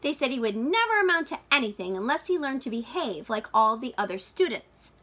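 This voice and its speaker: A woman reading a children's picture book aloud like a teacher, with expressive, deliberate and dramatic phrasing for young listeners. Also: fairly high pitch.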